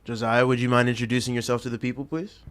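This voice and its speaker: A man's voice: medium pitch, level tone, fast, fairly bright tone. A nineteen-year-old man hosting a roundtable talk show. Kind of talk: hosted roundtable chat.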